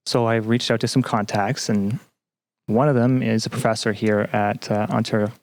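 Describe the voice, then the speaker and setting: Man, somewhat low pitch, casual interview guest, halting, searching for words, conversational. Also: steady tone. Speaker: podcast guest, graduate student.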